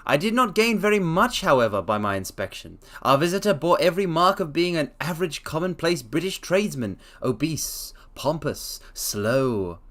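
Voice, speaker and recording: A man streaming a dramatic reading of a classic story, chatting excitably to his viewers in between. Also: a little high, normal speed, hardly any pauses. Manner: excitable, rambling with fillers between passages.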